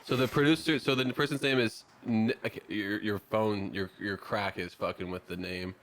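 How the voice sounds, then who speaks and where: A man's voice: on the low side, steady tone, moderate pace, fairly bright tone, slight background noise, low-quality recording. A man hosting a casual podcast chat, loose and profane, often breaking into laughter. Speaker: podcast host.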